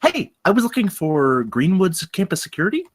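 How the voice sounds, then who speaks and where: A man's voice: high voice, big pitch swings, normal speed, breathy edge, no echo, studio quality, exaggerated voice. A man at a table read playing an eager, clean-cut guy of twenty-four. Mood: puzzled, eager. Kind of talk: scripted film table read.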